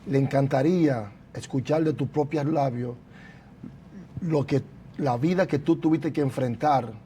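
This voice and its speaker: A pastor with a mild, mellow voice hosts a church testimony broadcast, speaking formally and in a preacherly way. Faint background noise sits under his voice. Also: medium pitch. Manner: preacherly, formal, interjects praise. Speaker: pastor and program host. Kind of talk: church program interview. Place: church building.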